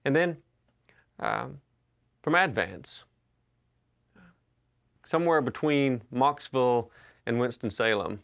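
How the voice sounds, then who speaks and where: A man, telling stories in a relaxed, conversational sermon. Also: mid-range voice, takes it slowly, creaky, pauses often. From Western North Carolina. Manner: conversational storytelling with frequent uh and restarts. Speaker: pastor. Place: church sanctuary.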